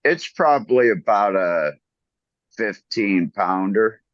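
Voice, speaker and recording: A man chatting slowly on a podcast, halting often with fillers. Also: on the low side, lively intonation, no echo. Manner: slow, halting, lots of uhs. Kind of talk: casual chat. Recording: dull, dry lo-fi remote mic.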